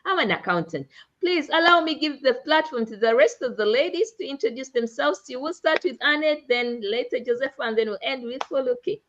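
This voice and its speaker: A woman from Uganda hosting a live online talk show, with an exuberant, drawn-out emphatic delivery. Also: sits high, expressive, a bit bright. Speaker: host. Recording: dull lo-fi online stream audio.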